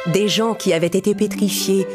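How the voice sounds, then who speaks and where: A woman's voice: mid-range voice, fairly even intonation, normal speed, music in the background. A woman narrator reading a scripted children's fairy tale.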